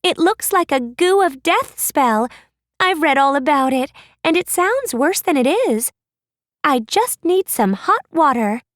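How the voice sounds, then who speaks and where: A chirpy, gushing teen princess, as a woman reader puts her on, her voice pitched well up from the reader's own. She rattles along brightly with a dry, close sound. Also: sing-song, a little slow, no echo. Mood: reassuring. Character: bubbly eager teen princess girl. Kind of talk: storybook read-aloud.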